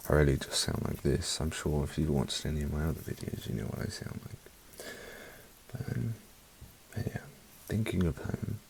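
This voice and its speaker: A man from South Africa with a mixed British accent, giving an unhurried, soft-spoken ASMR album review in a half-whispered voice with wry asides, close to the mic. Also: very deep voice, expressive, gravelly, slight background noise.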